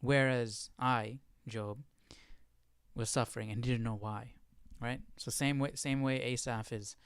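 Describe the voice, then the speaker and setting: A man's voice: medium pitch, fairly even intonation, measured pace, smooth, dry recording, studio quality. A man hosting a casual live Bible study, explaining as he thinks aloud and often posing questions. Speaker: livestream Bible study host.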